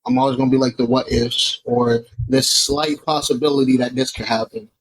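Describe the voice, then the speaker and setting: A man's voice: mid-range voice, little pitch movement, fairly quick, a little hiss or hum, low-quality recording. A man from Florida talking candidly on a podcast, reflective and casual, with some cursing. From Florida, USA. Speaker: podcast guest.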